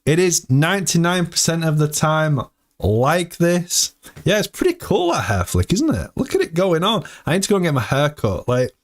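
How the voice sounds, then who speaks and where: A man's voice: on the high side, very animated, moderate pace. Laughing, jokey man in his thirties. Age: 30s. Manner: jokey, laughs often, chatty. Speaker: live stream host. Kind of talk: casual live-stream chat. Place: hot room indoors.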